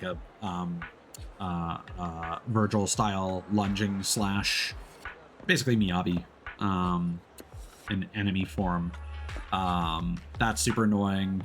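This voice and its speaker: A man giving casual, off-the-cuff commentary as a livestreamer, thinking aloud in a halting way. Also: lowish voice, a little hiss or hum. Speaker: livestreamer, gamer. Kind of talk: casual gaming stream commentary.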